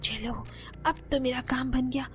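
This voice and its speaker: A woman voicing young daughters-in-law in a cartoon, bright and smug, quick to plead. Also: high voice, fairly even intonation, faint music behind. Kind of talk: cartoon voice acting.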